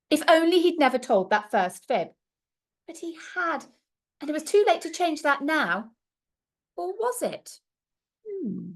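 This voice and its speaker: A woman reading a storybook aloud to young children like a primary teacher, expressively and with little asides to her listeners. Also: high-pitched.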